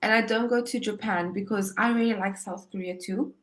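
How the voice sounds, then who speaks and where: A woman's voice: medium pitch, steady tone, a little fast, a bit bright, slight background noise. Upbeat woman tutoring on a video call. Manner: upbeat, encouraging, laughs often. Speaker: online English tutor. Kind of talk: casual conversation lesson.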